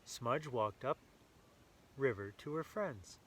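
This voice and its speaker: Guy reading a kids book out loud, super expressive, chatty asides, bit of background noise. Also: mid-range voice, takes it slowly. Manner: animated, enthusiastic. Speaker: children's storyteller and host. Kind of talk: expressive storybook read-aloud with casual asides to children.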